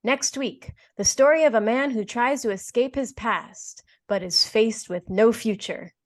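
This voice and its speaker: A woman's voice: a little high, measured pace. A woman delivering scripted announcements and credits in an old-time radio style.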